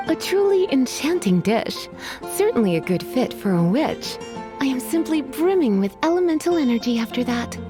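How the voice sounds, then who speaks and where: Game casting: flirty, refined lady witch, coy and languid, with expressive melodic delivery. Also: sits high, moderate pace. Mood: coy, teasing. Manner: coy, sighing, teasing, genteel. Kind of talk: video game character acting. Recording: game music behind voice.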